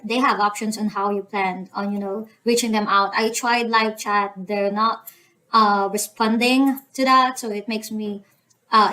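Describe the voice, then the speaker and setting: A woman's voice: medium pitch, fairly bright tone, rough recording. A young woman with a Filipino accent, casually talking through an online form step by step, with many "um"s and "you know"s. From Philippines. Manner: hesitant, full of "um" and "you know" fillers. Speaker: channel host walking through a form. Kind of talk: casual screen-recorded tutorial.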